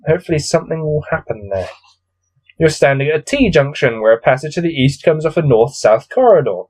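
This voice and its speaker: A man's voice: a little high, animated, brisk, a little mellow. A man with a cold coming on reads a gamebook aloud, adding weary, exasperated, off-the-cuff commentary. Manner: weary, grumbling, exasperated asides. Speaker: solo gamebook reader and commentator.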